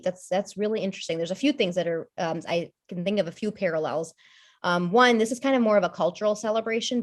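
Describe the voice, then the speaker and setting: A woman with a slightly low voice speaks as a podcast guest in a loose, conversational way. She is in her thirties and thinks aloud, circling back with fillers, restarts and small asides. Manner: thoughtful, rambling, many fillers and restarts. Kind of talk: casual conversational discussion.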